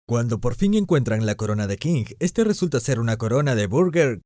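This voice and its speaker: A man's voice: mid-range voice, sing-song, brisk. A man giving a casual, scripted recap and review as a channel host, with an informal, irreverent way of talking.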